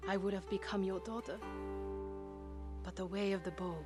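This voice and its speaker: Give a renegade female archer in a video game voiced by an actress. She is cool, proud and self-assured, with dry, clipped phrasing at a middle pitch. Soft music runs underneath. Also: little pitch movement, normal speed, close to an everyday voice. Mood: solemn, grateful. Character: defiant, cool renegade woman archer, proud former student. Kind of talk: dramatic video game acting.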